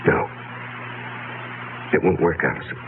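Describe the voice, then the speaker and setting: An actor playing an anguished ex-convict businessman with a false identity in a 1970s radio drama, speaking in an agitated, halting, emphatic way. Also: natural voice. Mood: grim, agitated. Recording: muffled, hissy 1970s radio broadcast.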